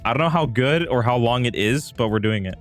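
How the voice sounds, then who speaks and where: A man's voice: medium pitch, animated, fairly quick, faint music behind. A man hosting a live stream with casual chat, rambling and repeating himself as he talks straight to his viewers. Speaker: livestream host.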